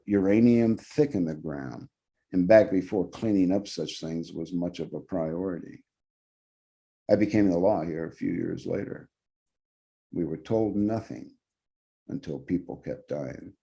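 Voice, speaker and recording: A man, an actor, reads a literary short story aloud in the first person, with measured, dramatic phrasing. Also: deep voice, creaky, mellow.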